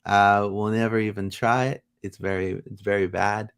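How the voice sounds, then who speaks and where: A man hosting a casual soccer livestream, rambling with many fillers and bursts of excitement. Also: on the low side, moderate pace, gravelly, smooth. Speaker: podcast host.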